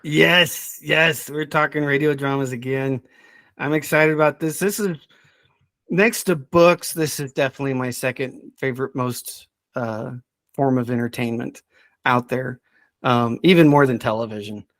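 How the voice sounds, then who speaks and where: A middle-aged man chatting casually as a podcast's subject expert, thinking aloud in a halting way with repeated words. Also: medium pitch, a bit bright, dry recording. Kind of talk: casual chat.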